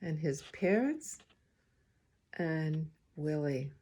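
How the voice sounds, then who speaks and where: A woman reading a picture book aloud to young children, expressive and gently pausing to speak to her listeners. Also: low voice, husky. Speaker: storybook reader, school well-being coordinator.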